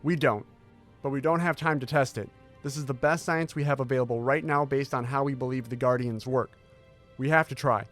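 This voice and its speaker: Music plays under an actor's portrayal of a determined male intelligence scientist in an audio drama. He has a medium-pitched voice and delivers his words in a formal, measured, authoritative briefing manner. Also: steady tone, a bit bright, close to an everyday voice. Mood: urgent, firm.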